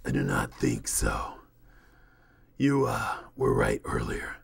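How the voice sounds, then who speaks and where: A man's voice: extremely low pitch, huge pitch swings, slow, gravelly, husky, pitched below the performer's own voice, full character voice. A man voicing a brooding supernatural being who speaks in a stilted, formal, solemn manner. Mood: brooding, grave.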